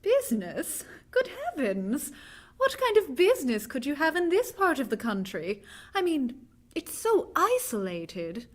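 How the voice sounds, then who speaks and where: An anxious young lady of vintage radio drama, played by a woman. Her voice sits high and swoops expressively through emphatic questions. She is well-mannered and inquisitive. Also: wildly swooping pitch, a little slow, heavily acted. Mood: polite, curious.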